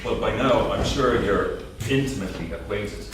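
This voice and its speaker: A man's voice: fairly low pitch, normal speed, natural voice. A man playing a harried, penny-minded husband in a staged reading, dry and worrying in manner. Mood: exasperated, wry. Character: Samuel, harried penny-minded middle-aged husband and father. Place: theatre stage, live reading. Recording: distant, very echoey theatre with noise.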